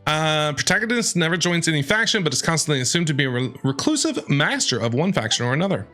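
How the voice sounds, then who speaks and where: Animated, bright-voiced game streamer. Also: medium pitch, fast talker, soft background music. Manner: playful, reactive, talks back to game characters. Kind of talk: casual live gameplay commentary and reading aloud.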